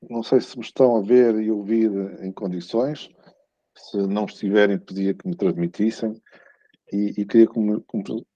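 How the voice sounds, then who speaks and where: A man with a European Portuguese accent giving a formal, courteous academic talk over a video call, often halting and repeating words. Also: fairly low pitch, warm tone, no room sound. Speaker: academic guest speaker giving a lecture. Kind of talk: formal conference talk, semi-scripted. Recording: muffled, dull video-call audio.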